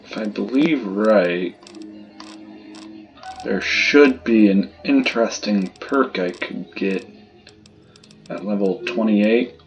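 A man's voice: on the low side, takes it slowly, pauses often, muffled recording, soft background music. A man giving casual gameplay commentary, muttering to himself as he plays. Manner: muttering to self. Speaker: gamer doing a playthrough.

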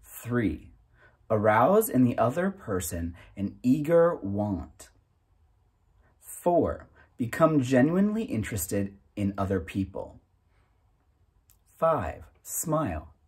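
Male reader, 30s, very husky voice, deliberate and emphatic delivery of self-help text with animated pitch. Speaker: narrator reading a book aloud. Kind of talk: reading aloud from a self-help booklet.

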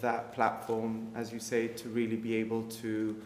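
A young man on a discussion panel speaking slowly and in a measured way. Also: fairly low pitch. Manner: slow, measured, even delivery. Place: art gallery room. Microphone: stand mic. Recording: echoey hall, faint noise.

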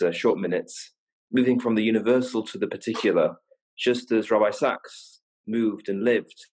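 A rabbi in his thirties gives a formal tribute over a video call. He is a man with a fairly low voice, speaking from an indoor room. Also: rough recording. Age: 30s. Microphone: phone or laptop on video call.

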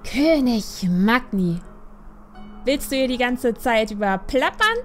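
A man's voice: extremely high pitch, animated, slow, a bit bright, soft background music, pitched above the performer's own voice, heavily acted. A man voicing a headstrong, impatient young female panda adventurer in an audiobook. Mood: cheeky, insistent. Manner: impatient, defiant. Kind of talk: audiobook character voice.

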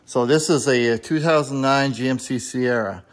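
A man explaining a do-it-yourself repair in a casual, unscripted, halting and plain-spoken way, recorded in a home garage on a handheld camera. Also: mid-range voice. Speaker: amateur DIY car repair video maker.

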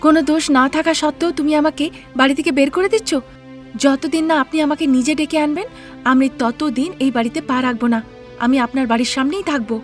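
A woman's voice: high voice, fast talker, soft background music, pitched above the performer's own voice, natural voice. A woman voicing an earnest, stubborn young daughter-in-law in a cartoon story, pleading yet firm as she argues. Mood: hurt defiance. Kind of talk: Bengali cartoon story acting.

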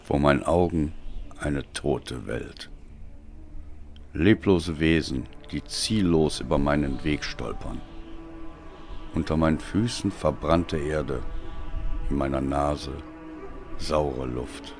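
A male audiobook narrator with an extremely deep voice delivers dark, dramatic prose. He is slow, deliberate and brooding, with theatrical weight on his words. Music plays behind him.

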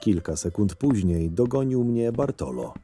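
A man playing a curt, irritable convict guard who narrates his own story in a sardonic, gruff way in an audio drama. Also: medium pitch, lively intonation, measured pace, mellow, soft background music. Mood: weary, sardonic. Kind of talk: first-person audio drama narration with dialogue.

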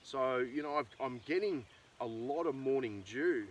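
A man's voice: medium pitch, normal speed, muffled recording. A middle-aged Australian man talking casually and unscripted, thinking aloud as a farmer making a vlog in an open field. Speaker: farmer and video host. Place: outdoors in an open rural pasture. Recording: distant outdoor pickup with ambient noise.

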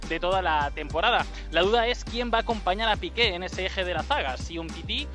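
Bright high-voiced male sports reporter. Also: animated, fairly quick, faint music behind. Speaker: field reporter. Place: outdoors in a city square at night. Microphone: handheld reporter microphone.

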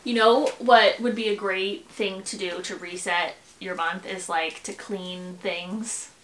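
A woman's voice: medium pitch, fairly even intonation, slow pace, fairly bright tone, slight background noise. A woman in her twenties with an American accent, chatting casually to camera in a rambling, informal way as she vlogs. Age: 20s. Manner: rambling, informal, self-interrupting. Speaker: lifestyle vlogger. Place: rooms in a home, indoors. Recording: echoey bare room, music underneath. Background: background music.

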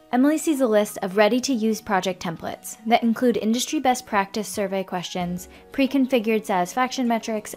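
A woman delivers scripted narration for a software demo at a medium pitch. Her delivery is measured and explanatory, with music playing behind her. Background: background music.

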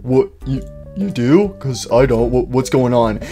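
A man's voice: medium pitch, animated, measured pace, mellow, faint music behind, lightly acted. A man voicing a naive, defensive animatronic bear in a comedic cartoon roleplay, stammering and pleading. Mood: confused. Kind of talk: improvised comedic character roleplay.

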